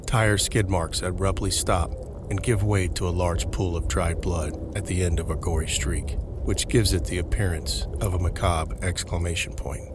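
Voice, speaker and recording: A man's voice: low voice, background noise. A man reading scripted narration for a horror mystery audio drama.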